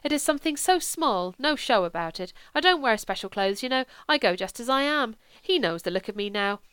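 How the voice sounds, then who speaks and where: A woman voicing a simple, genial male priest in an audiobook, plain-spoken, gently coaxing and reassuring. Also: a little high, expressive, a little fast, clean tone, no echo. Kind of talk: audiobook character dialogue.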